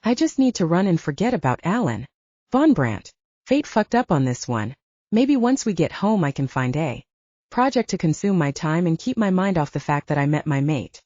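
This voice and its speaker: A woman's voice: on the low side, sing-song, normal speed, mellow, dry recording, dull audio. A woman voicing a heartbroken, stubborn young werewolf woman, fiery and bitter, in an audiobook romance. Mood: hurt, bitter. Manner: foul-mouthed, bitter. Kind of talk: audiobook romance character acting.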